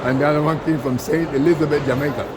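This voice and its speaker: An older man from Panama, a retired fire captain, introducing himself in a halting, plainspoken way. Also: fairly high pitch, fairly even intonation. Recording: loud background noise.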